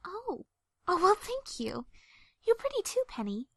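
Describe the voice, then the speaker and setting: A woman's voice: extremely high pitch, very animated, measured pace, creaky, dry recording, heavily acted. A woman playing a sweet, easily flustered, genteel young pony in an audio drama, polite and hesitant. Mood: tender. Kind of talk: audio drama character acting.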